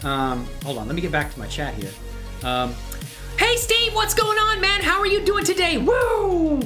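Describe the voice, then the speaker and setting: A woman's voice: extremely high pitch, huge pitch swings, normal speed, sharp tone, faint music behind. A woman hosting a casual livestream, chatting to viewers in a friendly, exclamatory way. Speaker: live streamer hosting own channel. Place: indoors at a computer.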